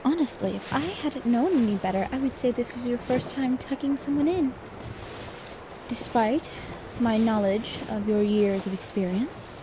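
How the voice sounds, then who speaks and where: A woman plays a poised and exacting maid in an ASMR roleplay. Her soft voice sits a little high, and her delivery is formal, measured and prim. Ambient noise is clearly audible behind her. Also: animated, close to an everyday voice. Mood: fussy, chiding. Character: poised, particular, competitive young maid servant. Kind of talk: soft-spoken ASMR roleplay with poetry reading.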